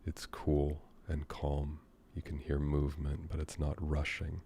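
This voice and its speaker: An American man leads a guided meditation in an extremely low, dark and relaxed voice. His delivery is almost monotone, with very little rise or fall. He speaks gently and without hurry, leaving long pauses as he improvises his guidance. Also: creaky, slight background noise. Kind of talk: guided meditation, soothing and unscripted.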